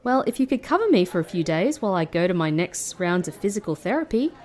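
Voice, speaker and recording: Actress as a lively, wry ex-agent woman, roomy sound. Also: on the low side, fast. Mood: wry, exasperated. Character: former woman agent turned call-centre worker, wry and composed. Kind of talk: audio drama narration and dialogue.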